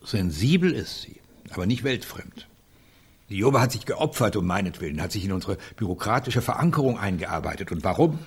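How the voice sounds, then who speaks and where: An actor playing a fretful, self-pitying middle-aged artist, a helpless younger brother, in a German radio play, hesitant and plaintive. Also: male voice, on the low side, big pitch swings, fairly quick, husky, full character voice. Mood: defensive.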